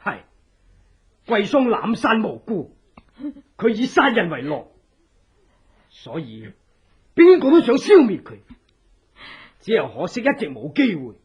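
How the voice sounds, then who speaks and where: An actor playing an earnest, secretive revolutionary, speaking with urgent, theatrical persuasion in a radio drama. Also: male voice, sits high, wildly swooping pitch, measured pace, long pauses, breathy edge, exaggerated voice. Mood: indignant. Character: earnest, secretive revolutionary, escaped political prisoner. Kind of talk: Cantonese radio drama acting. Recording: dull, lo-fi old radio drama.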